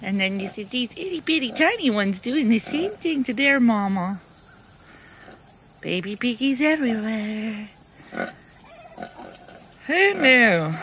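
A woman's voice: medium pitch, fairly bright tone, slight background noise. A woman on a homestead chatting casually as she films her animals outdoors, laughing often and talking sweetly to them. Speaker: homestead vlogger. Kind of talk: casual narration while doing farm chores. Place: outdoor farm pens and pasture.

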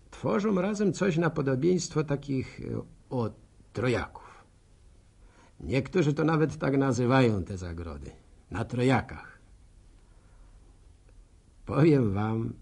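Folksy village narrator for a radio serial, husky n musing, voice goes up and down a lot. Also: male voice, medium pitch, darkish tone, heavily acted. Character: nostalgic village bachelor narrator, war veteran.

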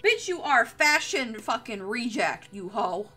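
Young woman streamer, bright voice, crude banter. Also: on the high side, sing-song, measured pace. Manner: crude, sweary, jokey banter. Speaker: live streamer. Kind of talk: casual livestream chat while drawing.

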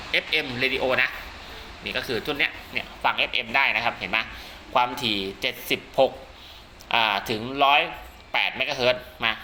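A man giving a casual product demonstration as a seller, rambling and repeating himself with frequent polite tags. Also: medium pitch. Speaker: radio seller and channel host presenting products. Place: at home during heavy rain. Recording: loud rain noise behind the voice. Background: heavy rain.

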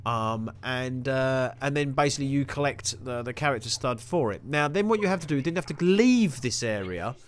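A man's voice: mid-range voice, animated, moderate pace, hardly any pauses. A young man giving a rambling, jokey walkthrough of a video game with self-mocking asides. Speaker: gaming video host. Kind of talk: casual gameplay walkthrough commentary.

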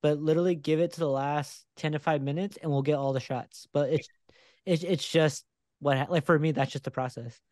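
A man in his thirties hosting a podcast interview, talking in a relaxed, candid way into a close desk microphone. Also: on the high side, brisk, no echo. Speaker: podcast host, photographer. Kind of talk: casual interview. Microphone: headphones and a desk microphone close up.

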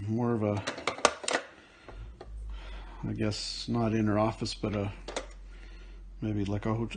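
Slow-talking man unboxing finds, noisy recording. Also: mid-range voice, gravelly. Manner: relaxed, rambling, thinking aloud with fillers. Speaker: picker and vlogger. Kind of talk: casual unboxing commentary. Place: indoors at a table, off camera.

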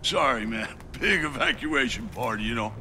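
An actor playing a hungover, dim, boisterous middle-aged rocker in a video game, with a lazy stoner drawl. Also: male voice, mid-range voice, animated, moderate pace, pushed and bright. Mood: groggy, easygoing. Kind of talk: game cutscene acting.

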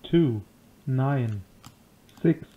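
A man delivers isolated words extremely slowly and flatly, in numbers-station style. The sound is narrow and tinny, like low sample rate phone audio. His pitch sits in the middle range. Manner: flat, isolated word-by-word delivery. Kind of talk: reading single digits as for a numbers station. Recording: phone-like lo-fi 8 kHz playback.

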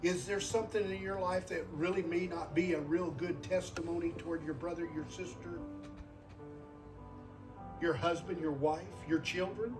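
A man's voice: fairly high pitch, fairly even intonation, normal speed, recorded from a distance, over music. An older man, a guest preacher in his sixties, delivering an earnest sermon and repeating phrases for emphasis. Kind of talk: sermon with altar call.

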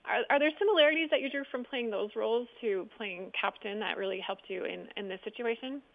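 An American woman with a fairly high voice hosts a television interview. She speaks as a presenter putting questions to a guest. The sound is slightly dull. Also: a little fast, creaky.

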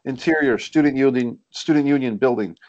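A man's voice: mid-range voice, a little fast, darkish tone, dull audio. A man reading scene headings and stage directions as scripted narration for a screenplay table read over a video call. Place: indoors, group video call. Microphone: computer or headset on video call.

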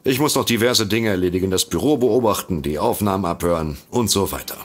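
Deep-voiced, deadpan detective. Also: male voice, fast talker, faint music behind. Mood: dry, businesslike. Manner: deadpan, sardonic, matter-of-fact. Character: dry, unimpressed, businesslike male detective. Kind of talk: comedic German audio drama.

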